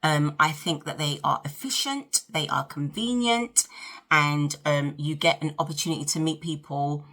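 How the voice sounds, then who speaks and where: A woman's voice: low-pitched, normal speed, a bit bright. A woman in her fifties with a South London accent, chatting casually and directly to her viewers as she hosts a get-ready-with-me vlog. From South London, England. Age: 50s. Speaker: vlog host. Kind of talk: casual chat to camera during a get-ready-with-me.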